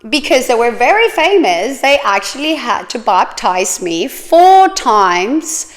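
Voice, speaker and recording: A woman's voice: a little high, big pitch swings, slow pace. A woman, chatting casually and telling her own life story with plenty of fillers. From Australia. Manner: chatty, many fillers, laughs often.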